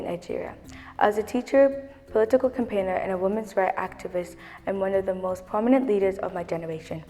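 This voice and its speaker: A woman's voice: mid-range voice, dark tone, long reverb tail, close to an everyday voice. A young performer voicing a proud older woman activist, reciting her story in the first person. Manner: recited, declamatory. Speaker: child performer in a school show.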